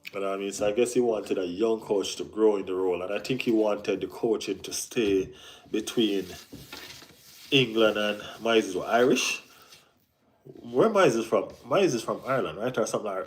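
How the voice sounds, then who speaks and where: A man's voice: on the low side, low-quality recording. A Jamaican man hosting a casual football live stream, reading and answering chat, often saying "you know what I mean".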